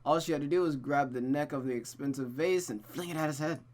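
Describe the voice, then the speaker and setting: Streamer reading a romance game out loud, medium pitch, expressive with chatty little asides. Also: fast talker. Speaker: streamer reading a visual novel. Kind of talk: expressive story narration with casual asides.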